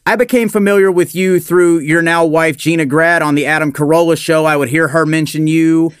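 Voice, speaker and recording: Enthusiastic male host, slightly high voice. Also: steady tone, fairly quick, clear, resonant tone, dry recording, studio quality. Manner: enthusiastic, complimentary. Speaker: podcast host. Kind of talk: casual interview.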